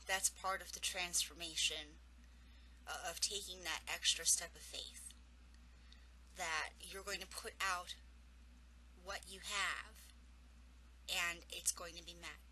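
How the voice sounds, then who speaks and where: Bright-voiced woman with a cold. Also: lowish voice, fairly even intonation, slow, pauses often, slight background noise, rough recording. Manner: earnest, encouraging, spiritual. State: has a cold, losing her voice. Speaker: intuitive coach. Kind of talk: advice vlog answering viewer questions.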